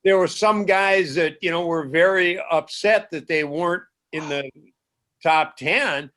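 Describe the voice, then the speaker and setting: A man's voice: on the high side, a little slow, a bit bright. A man, a former broadcaster, reminiscing casually with stammered repeats.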